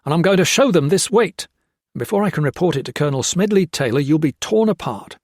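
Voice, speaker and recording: A man's voice: on the high side, very animated, moderate pace, darkish tone, no room sound, clean studio sound, voice pushed up. A man voicing a stern, relentless army lieutenant who presses his questions firmly and coldly. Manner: firm, relentless, cold.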